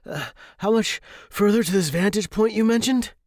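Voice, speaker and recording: Man playing a flustered, high-pitched gentleman scholar. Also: moderate pace, no echo, studio quality. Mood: breathless, apologetic. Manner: polite, flustered, panting. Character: breathless, anxious British male scholar, polite and awestruck.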